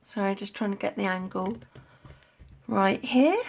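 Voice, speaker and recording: A woman's voice: mid-range voice, a little slow, gravelly. Woman, sniffly head cold; chatty, rambling live-stream crafter talking into a tablet at home. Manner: rambling, chatty, many fillers and restarts. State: congested with a head cold, coughing. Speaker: craft vlogger. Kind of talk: casual live-stream chat while crafting. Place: at home indoors.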